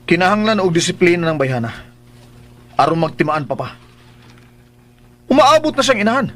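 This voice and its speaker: A man's voice: high voice, sing-song, brisk, fairly bright tone. A man playing a possessive, irritable young son of a rich household in a radio drama, stern and demanding, with a Bisaya accent. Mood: sullen. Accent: Filipino, Bisaya.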